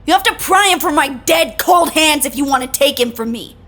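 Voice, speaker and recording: A woman playing an excitable, stubbornly protective young agent in an audio drama, with an emphatic, earnest manner. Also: sits high, brisk. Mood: defiant, protective. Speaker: voice actor in a fiction podcast.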